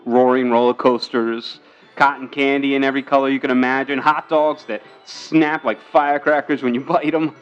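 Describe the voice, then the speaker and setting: An actor playing an earnest, boyish young sailor son in a period radio drama, speaking in a halting way. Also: male voice, medium pitch, fairly even intonation, clean tone, muffled recording, faint music behind, close to an everyday voice. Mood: excited wonder. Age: young adult.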